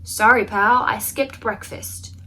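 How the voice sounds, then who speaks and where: A woman voicing a hungry, blustery but apologetic male dragon from a picture book, with a drawn-out delivery. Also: a little high, fairly even intonation, pushed and bright, full character voice, low-quality recording. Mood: hungry, blunt. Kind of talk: children's picture-book read-aloud.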